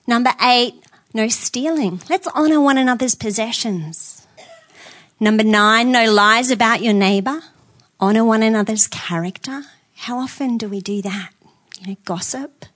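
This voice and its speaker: A woman's voice: fairly high pitch, a bit bright. A woman preaching a conversational sermon in a church, earnest, with self-deprecating asides. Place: church auditorium with screens.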